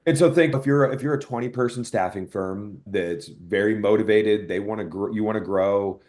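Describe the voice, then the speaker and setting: A man's voice: fairly low pitch, normal speed, a little mellow. A man speaking as an expert podcast guest, explaining things analytically and correcting himself as he thinks aloud. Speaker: podcast guest, software product executive. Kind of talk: conversational expert explanation in an interview.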